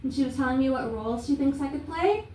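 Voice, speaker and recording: A young adult woman from Canada performing a stand-up set on a comedy club stage, deadpan and self-mocking with many fillers. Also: high voice, fast, a little hiss or hum. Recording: roomy live club sound from a distance.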